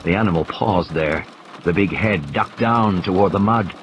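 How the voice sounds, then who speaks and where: A man's voice: deep voice, normal speed, background noise. A man reading a thriller audiobook as a dramatic scripted narration. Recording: clear rain and storm effects behind. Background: rain, vehicle and ambience sound effects.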